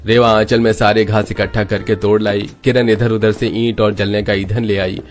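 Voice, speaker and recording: A man's voice: lowish voice, level tone, normal speed, soft background music. A man narrating a scripted, dramatic moral story as its storyteller.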